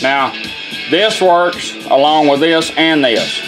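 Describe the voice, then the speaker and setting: A man's voice: on the high side, slow. Plain-spoken man demonstrating, music playing behind. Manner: plain-spoken, step-by-step instructing. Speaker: product demonstrator. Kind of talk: informal hands-on product demonstration. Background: music from the radio being demonstrated.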